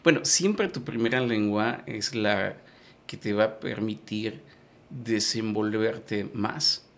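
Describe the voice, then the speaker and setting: A man's voice: lowish voice, slow, creaky. A man from Yucatán with a Yucatecan Spanish accent and Maya as his first language, explaining patiently in an interview, with many fillers and restarts. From Yucatán, Mexico. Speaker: guest. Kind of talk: conversational interview.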